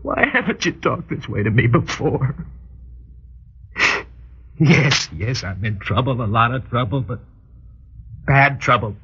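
An actor playing a nervous, guilt-ridden husband in an old radio drama, with a husky voice and a halting, stammering delivery. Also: male voice, medium pitch, lively intonation, a bit bright, heavily acted. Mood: desperate, confessing. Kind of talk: mid-century radio drama acting. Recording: dull, lo-fi old radio recording.

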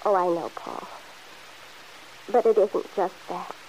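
A woman's voice: on the low side, takes it slowly, a little mellow, poor audio. Anxious young mother, hissy old radio. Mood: anxious, wistful. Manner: hesitant, pleading, nervy. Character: anxious young mother, fretful, torn by guilt. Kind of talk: old-time radio soap opera acting. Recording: hissy vintage radio broadcast recording.

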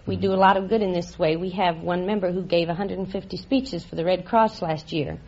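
A woman's voice: fairly low pitch, brisk, darkish tone, husky. A middle-aged American woman answering quiz show questions in an articulate, forthcoming way. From Staten Island, USA. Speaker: quiz contestant. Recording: muffled old radio recording.

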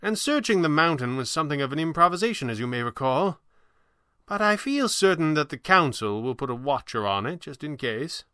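Storybook elderly sorcerer, male narrator performing: raised pitch, crisp, courtly showman, gently amused. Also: very animated, dry recording, clean studio sound. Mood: thoughtful. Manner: courtly, theatrical, drawn-out. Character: gentle, amused old stage-magician sorcerer, courtly and theatrical.

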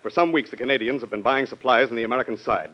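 An actor playing a dutiful, urgent military general in an old-time radio drama, reporting gravely in a formal, emphatic way. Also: male voice, medium pitch, very fast, lightly acted. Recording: dull old radio broadcast recording.

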